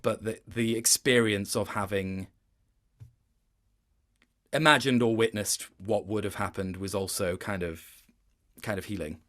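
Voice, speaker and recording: A man's voice: lowish voice, a little slow, pauses often, fairly bright tone. A man giving a casual, reflective interview as a guest, speaking thoughtfully and often rephrasing as he searches for words.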